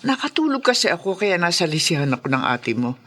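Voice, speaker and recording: Middle-aged peacekeeping mother with lively pitch. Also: fast, slight background noise. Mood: apologetic, worried. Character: apologetic, peacekeeping mother of grown quarrelling children. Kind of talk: Filipino radio drama acting.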